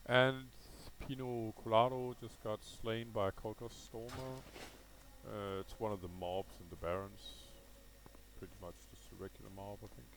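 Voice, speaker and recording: A man with a Danish accent talks through his gameplay in a casual, unscripted way. His voice is on the low side and has a gravelly creak. He thinks out loud with some hesitation, over noticeable background noise. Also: fairly even intonation, normal speed, low-quality recording. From Denmark. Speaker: gaming video host.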